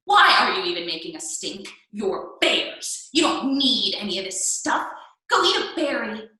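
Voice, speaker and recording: A woman's voice: mid-range voice, sing-song, a little slow, pushed and bright. A woman playing a brash, defiant fairy tale troublemaker in a comedic radio play, taunting, in a very echoey recording. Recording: very echoey, distant room sound.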